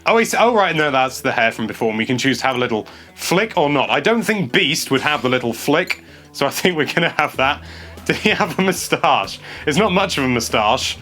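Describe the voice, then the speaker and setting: A young man hosting a casual gameplay commentary, chatty and excitable, stumbling over his words and laughing a lot. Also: a little high, fairly quick, soft background music. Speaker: games journalist host. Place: small indoor room.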